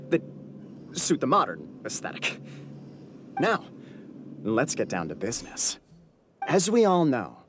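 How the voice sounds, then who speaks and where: A man's voice: mid-range voice, background noise. An actor playing a pompous, wordy clansman proud of his ancestry in a video game, with music behind him. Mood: grandiose. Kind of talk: theatrical video game character acting.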